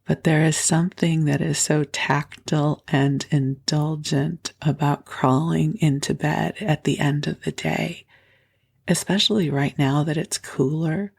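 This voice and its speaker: A woman hosting a sleep podcast, talking softly and slowly with a froggy morning voice, partly in a whisper, from under a blanket fort in her bedroom. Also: deep voice, creaky, husky. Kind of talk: soft, slow sleep-podcast talk.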